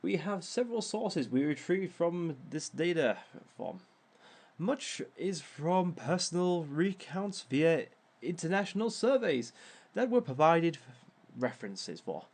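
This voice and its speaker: A man playing a suave, smug reformed villain who lectures as a relationship expert in a theatrical, measured, drawn-out way. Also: a little high, very animated, a little mellow, heavily acted. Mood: evasive, dry. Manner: theatrical, measured, drawn-out phrasing with sly chuckles. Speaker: speaker leading a seminar session. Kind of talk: scripted in-character audio roleplay lecture.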